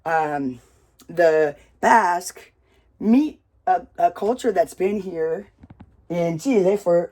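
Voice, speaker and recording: An American storyteller telling a family tale with props in a loud, rambling, casual way, swearing freely. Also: mid-range voice. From United States.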